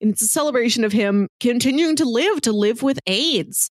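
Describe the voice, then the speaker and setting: A woman's voice: a little high, animated, normal speed, dry recording. A woman co-hosting a casual discussion, talking reflectively and articulately in long, built-up phrases. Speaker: podcast co-host. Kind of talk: casual conversational film discussion.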